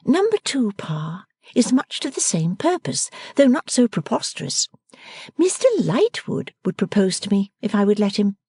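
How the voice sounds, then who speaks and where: A woman's voice: mid-range voice, sing-song, normal speed, warm tone, husky, dry recording, voice pushed up. A woman reader voicing a playful, teasing young woman who talks in an emphatic, theatrical way.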